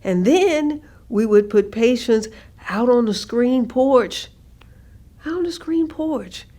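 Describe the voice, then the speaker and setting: An actress playing an older woman, recalling hard working years in a measured, reflective staged reading. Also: a little high, lively intonation, warm tone. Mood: matter-of-fact recollection. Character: older woman, former hospital worker, plainly recalling segregation-era hardship. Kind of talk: staged reading of oral history.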